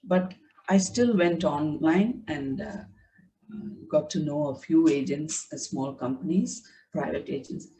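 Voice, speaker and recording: Woman, native Tamil speaker, low unhurried voice, halting reflective guest heard from a distance on a video call. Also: warm tone. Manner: hesitant, many fillers and restarts. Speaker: interview guest, writer. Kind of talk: reflective conversational interview.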